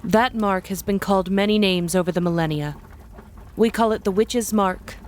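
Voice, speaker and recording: A woman playing a solemn, commanding witch leader in an audio drama, formal and measured. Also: mid-range voice, a little hiss or hum, close to an everyday voice. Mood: grave, authoritative. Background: music.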